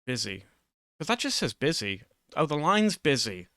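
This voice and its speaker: A man's voice: fairly high pitch, very animated, normal speed, bright, forceful tone, dry recording. A man giving an informal, improvised lesson in a hesitant, rambling, self-deprecating way, close to the microphone. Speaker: online video host.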